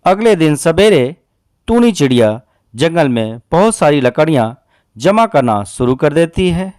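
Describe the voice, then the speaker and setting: A man's voice: on the high side, very animated, measured pace, darkish tone, no echo, clean studio sound. A man narrating a scripted children's cartoon story as a storyteller.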